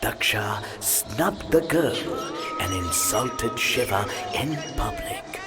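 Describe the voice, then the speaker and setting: A man's voice: on the low side, animated, slow pace, loud music behind the voice. A man playing an ancient god who narrates myths in a video game, theatrical and weighty, dwelling on his words. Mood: grave, dramatic. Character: ancient male god, grand storyteller of myths.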